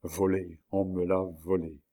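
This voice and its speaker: A man's voice: on the low side, normal speed, lightly acted. A man voicing a passionate, despairing male prisoner in an audiobook, with vehement delivery. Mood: crushed despair. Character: desperate, raging male prisoner, passionate tulip grower.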